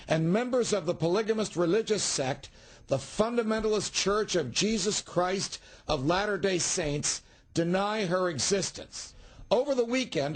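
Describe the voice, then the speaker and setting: A woman news reporter reading a scripted report. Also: mid-range voice.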